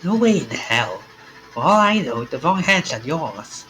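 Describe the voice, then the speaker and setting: A man's voice: a little high, sing-song, measured pace, sharp tone, a little hiss or hum, pitched above the performer's own voice, heavily acted, rough recording. A man voicing a wary, defiant male protagonist in a visual novel, speaking bluntly and emphatically. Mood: alarmed, defiant. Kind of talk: visual novel character reading. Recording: game music behind the voice.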